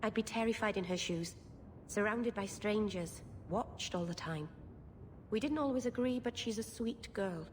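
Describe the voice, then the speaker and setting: A woman's voice: medium pitch, moderate pace, a little hiss or hum, close to an everyday voice. A woman voicing a kind-hearted, naive young woman in a video game, sincere and earnest. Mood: concerned. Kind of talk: video game dialogue acting.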